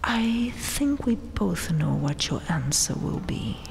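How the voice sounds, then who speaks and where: Woman as a whispering, creaky, domineering vampire queen. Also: lowish voice, very animated, exaggerated voice. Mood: menacing, commanding. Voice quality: breathy whisper. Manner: taunting, mock-sweet, haughty, drawn-out delivery. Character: domineering, gloating vampire queen, regal conqueror. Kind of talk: whispered ASMR roleplay. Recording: long cavernous reverb, faint hiss. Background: dark tomb ambience.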